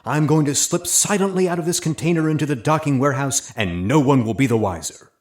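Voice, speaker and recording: An actor playing a chipper, hammy undercover agent with a flamboyant, precise manner in a comic audio drama. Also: male voice, fairly high pitch, brisk, background noise, close to an everyday voice. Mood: breezy.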